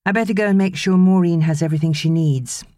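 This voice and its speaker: A British woman voicing a brisk, fussing young mother in an audiobook. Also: fairly low pitch, mellow, no room sound, pitched above the performer's own voice, natural voice. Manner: brisk, instructing.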